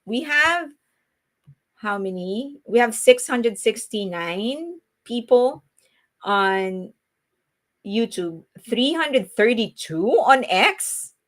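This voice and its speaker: A woman's voice: fairly high pitch, lively intonation, takes it slowly, a bit bright. Chatty Filipino woman streaming live. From Philippines. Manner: chatty, laughs often, many fillers and restarts. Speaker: livestream host. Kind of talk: casual live-stream chat.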